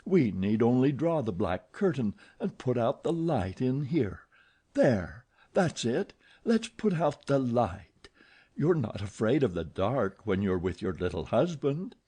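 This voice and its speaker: A man's voice: mid-range voice, sing-song, measured pace, dark tone, breathy edge. A man voicing an angry, jealous masked man who snarls commands and mockery in an audiobook. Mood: mocking. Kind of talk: audiobook character voice.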